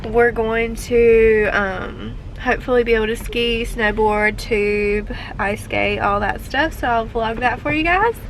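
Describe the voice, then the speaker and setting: A woman in her late twenties, talking in a rambling, chatty way straight into her camera. Her voice sits on the higher side and sounds a little stuffy from allergies. The recording comes from a camera mic with clear noise behind her. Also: a little slow, few pauses. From Georgia, US. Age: late 20s. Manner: chatty, rambling, gushing when excited. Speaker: family vlogger. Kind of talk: casual vlog chat. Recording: noisy handheld camera audio.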